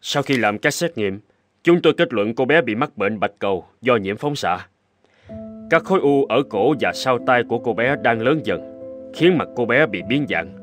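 A man with an ordinary mid-range voice plays a calm, formal doctor in a cartoon, voiced by an actor. He speaks slowly and evenly, with soft music behind him. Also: medium pitch, lightly acted. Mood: grave. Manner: measured and formal. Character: calm, reassuring male doctor. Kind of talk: animated cartoon dubbing.

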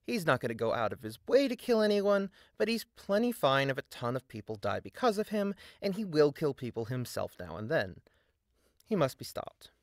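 A man delivers scripted video essay narration with a very animated pitch. He is sardonic and emphatic, dropping in dry asides. The recording is close and dry, with little room sound. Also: normal speed, dry recording.

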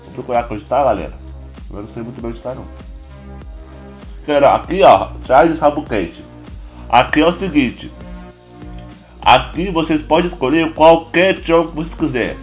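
A Brazilian man with a hoarse, congested voice, chatting casually through a game tutorial as a YouTuber. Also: mid-range voice, moderate pace, pauses often, soft background music. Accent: Brazilian Portuguese. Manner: relaxed, chatty, constant filler address to viewers. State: hoarse, stuffy nose and cough. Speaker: gaming YouTuber.

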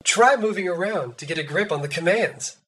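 A man's voice: high-pitched, animated, fairly quick, fairly bright tone. A man voicing a breezy, chummy mech engineer guiding the player through a video game tutorial. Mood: chummy, upbeat. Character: upbeat, playful mech engineer, proud of his machine.

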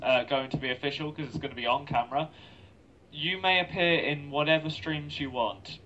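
A man making casual announcements as a guest streamer, speaking in a halting, deliberate way. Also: fairly high pitch, sharp tone, slight background noise. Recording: dull lo-fi remote voice audio.

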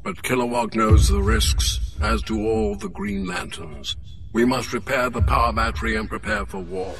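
A man's voice: mid-range voice, sing-song, normal speed, vocal fry, a bit bright, heavily acted. A man voicing a stern, aloof alien elder of a ruling council in a video game, formal and authoritative. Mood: imperious. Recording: distant from mic, music underneath.

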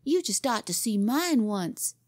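A woman's voice: on the high side, sing-song, measured pace, no room sound, studio quality, voice pushed up. A woman voicing a boastful, pompous small boy in a storybook, insistent and given to repeating himself. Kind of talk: storybook character reading.

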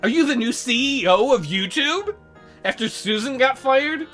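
A woman's voice: sits high, expressive, moderate pace, fairly bright tone, faint music behind. A woman hosting a let's play, giving casual game commentary full of joking, sarcastic asides.

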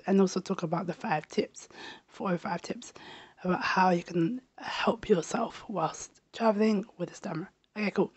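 Raspy-voiced woman vlogger with a stammer. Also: mid-range voice, a little mellow, dry recording, dull audio. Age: 30s. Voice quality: slightly raspy. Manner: stammers, with repeats and restarts. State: recovering from a sore throat. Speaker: travel vlogger. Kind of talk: casual personal talk to camera. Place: small bedroom, indoors. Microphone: handheld lapel mic held near the mouth.

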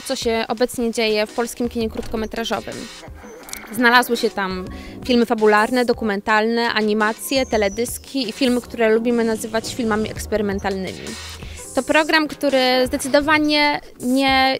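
A woman who selects a festival programme, speaking in a promotional talk. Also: on the high side.

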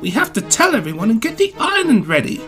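A man voicing an excitable young male tank engine in a children's story, eager, exclamatory and cheeky. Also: high-pitched, normal speed, faint music behind, pitched above the performer's own voice. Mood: urgent pleading.

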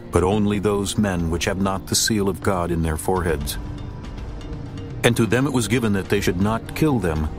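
A man narrating a dramatized scripture reading in a steady, grave voice. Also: deep voice. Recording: narration over music.

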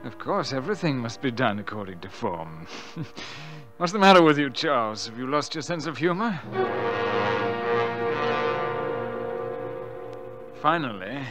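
A scheming young nephew with blood on his hands, played by a male actor, speaking in a mid-pitched voice over music. His delivery is smug, theatrical and confessional, in radio play style. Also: big pitch swings, normal speed, natural voice. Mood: uneasy. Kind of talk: radio drama acting with first-person narration.

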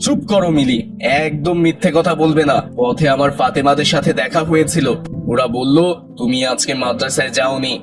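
A man's voice: mid-range voice, fairly quick, over music, natural voice. A man playing a stern, indignant, pious middle-aged father with a dramatic scolding manner in a moral cartoon. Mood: stern, angry.

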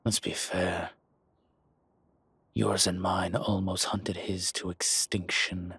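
A man playing a suave, scheming dragon mob boss in an intimate audio roleplay, with measured, husky, breathy delivery and deliberate pauses. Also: deep voice, little pitch movement, fairly bright tone, dry recording, exaggerated voice. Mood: contemptuous. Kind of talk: slow, intimate scripted roleplay monologue.

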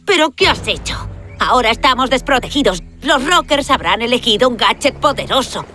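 A woman voicing a feisty, sarcastic animal warrior in a cartoon, sharp and scolding. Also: a little high, animated, brisk. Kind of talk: cartoon dub acting. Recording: music behind the voice.

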